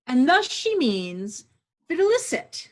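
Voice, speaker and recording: A woman's voice: high voice, wildly swooping pitch, slow pace, heavily acted. A woman playing a teasing, quibbling noble onlooker in an amateur Shakespeare table read, playfully mocking over a video call. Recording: home video call recording.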